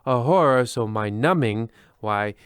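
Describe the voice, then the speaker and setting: A man plays a pompous, fussy horror host in a radio play. His mid-pitched voice is theatrical and florid, recorded dry and close. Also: animated, a little slow, clear, resonant tone, dry recording, close to an everyday voice. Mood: ominous, pompous. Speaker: narrator and host. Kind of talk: mock old-time radio horror narration.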